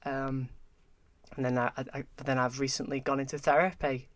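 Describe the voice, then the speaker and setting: A young man in his early twenties, a podcast guest talking candidly and haltingly, often repeating words. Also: medium pitch, little pitch movement, no room sound. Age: early 20s. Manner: halting, repeats words, open about struggles. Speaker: podcast guest, film graduate. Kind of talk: candid conversation.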